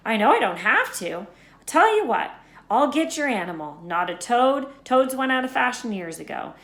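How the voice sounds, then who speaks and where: A gruff, protective storybook man, acted out by a woman reader, speaks bluntly and with folksy emphasis. The pitch is pushed up and loud for the reader, and the room rings with echo. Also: sing-song, normal speed. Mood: generous, excited. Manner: emphatic, folksy, dropped words. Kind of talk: children's storybook character voice. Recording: echoey room.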